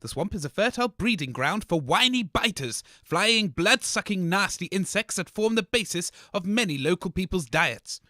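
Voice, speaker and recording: A man playing a grumpy, excitable local radio host in a comedy show, reading news and bantering. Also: sits high, moderate pace, hardly any pauses, dry recording, studio quality. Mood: mock-serious. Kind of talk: comic radio acting as newsreader and banter.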